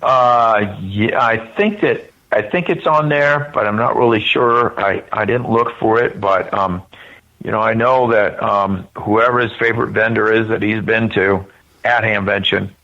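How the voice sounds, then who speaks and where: A man's voice: lowish voice, a little slow, pushed and bright. A man giving informal interview answers as a spokesperson, earnest and wandering, often correcting himself, over a dull band-limited line. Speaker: event spokesperson, interview guest.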